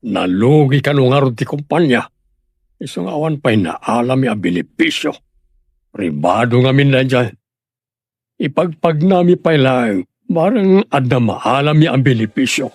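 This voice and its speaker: A man's voice: medium pitch, expressive, unhurried, darkish tone, husky, dry recording, studio quality. A man playing an earnest, dutiful grown son in a radio drama, with an Ilocano accent. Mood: concerned.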